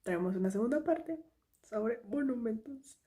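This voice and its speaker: High-voiced Mexican woman, casual reactor. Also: expressive, a little slow, mellow. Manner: informal, slangy, hesitant and repetitive. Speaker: reaction video host. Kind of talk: casual off-the-cuff reaction commentary.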